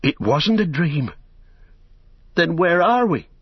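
Guy doing a humble young hobbit gardener, swings up high, husky, earnest and amazed, storybook, slightly muffled audio. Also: very animated, unhurried, no room sound, full character voice. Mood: astonished. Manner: exclaiming, wondering, plain-spoken.

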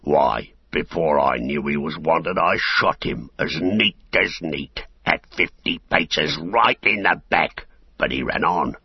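A man's voice: rumbling low, slow pace, vocal fry, husky, no room sound, highs cut off, exaggerated voice. A man voicing a big, brutish male orc soldier in a storybook, snarling threats like a menacing bully. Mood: threatening.

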